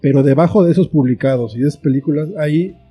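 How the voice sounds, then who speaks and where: Mexican man, higher-set voice, very soft dark tone, reflective interview guest, guitar music behind. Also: fast, slightly rough. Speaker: interview guest, screenwriter and author. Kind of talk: conversational interview answers.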